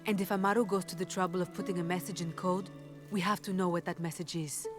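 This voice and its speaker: A woman playing a composed, resolute rebel leader in a video game, measured and authoritative. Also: fairly low pitch, fairly even intonation, music in the background, lightly acted. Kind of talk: naturalistic video game acting.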